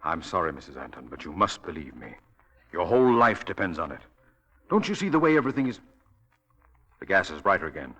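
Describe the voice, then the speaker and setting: An actor playing an earnest, insistent detective in 1940s radio drama style, with a clipped, commanding, deliberate delivery. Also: male voice, sits low, sing-song, fairly bright tone, slightly rough, full character voice. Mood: grave, protective. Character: earnest, insistent male detective, urgent and protective.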